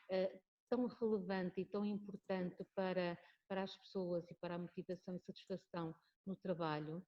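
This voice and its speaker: A woman HR professional, speaking hesitantly with many fillers at a web conference. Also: mid-range voice. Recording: muffled web-call audio.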